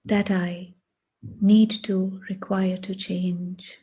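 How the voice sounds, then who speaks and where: A woman leads a guided meditation at a very slow pace, leaving long pauses between short phrases. Her voice is very husky and breathy, with a soft, mellow tone. The delivery is hushed and deliberate. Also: medium pitch, little pitch movement, dull audio.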